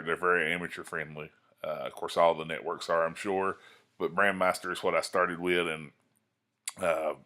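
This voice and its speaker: A man's voice: low-pitched, bright, forceful tone. A man hosting an amateur radio tutorial in a casual, unscripted way.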